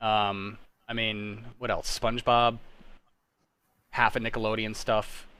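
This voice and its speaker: A man's voice: on the low side, level tone, slow pace, bright, forceful tone, clear, resonant tone, no echo. A young adult man hosting a livestream, chatting casually in a slangy, rambling way with friends. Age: young adult to 30s. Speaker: livestream host. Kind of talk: casual chat. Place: online group voice call.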